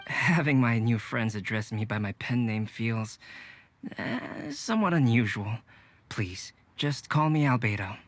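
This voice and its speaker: A man voicing a calm polite young artist. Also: mid-range voice, close to an everyday voice. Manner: soft-spoken, measured, courteous. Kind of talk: game voice acting.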